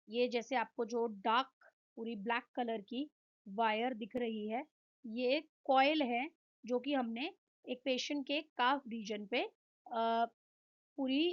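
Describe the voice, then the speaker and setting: A woman's voice: fairly high pitch, highs cut off. A woman with an Indian accent giving an explanatory physiotherapy lecture, posing questions and answering them in listed points. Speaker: physiotherapy instructor. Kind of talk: explanatory lecture mixing Hindi and English.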